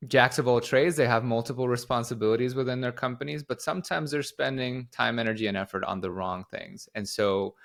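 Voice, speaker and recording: A man's voice: mid-range voice, brisk, vocal fry, hardly any pauses, fairly bright tone. A young man from Guatemala, the chief executive of a company, giving long, rambling interview answers with lots of fillers and restarts. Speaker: company CEO, podcast guest.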